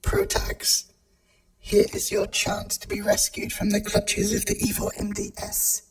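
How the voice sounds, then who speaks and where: A woman's voice: mid-range voice, sing-song, a little slow, creaky, poor audio. A woman playing a mysterious, aloof mastermind in a radio play, with solemn, archaic pronouncements. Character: mysterious, aloof mastermind architect, the educated guest.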